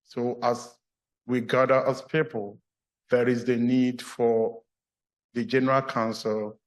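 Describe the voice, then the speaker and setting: A man from Ghana with a Ghanaian accent, a clergyman giving a measured, earnest formal address at a church meeting. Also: medium pitch, little pitch movement, low-quality recording.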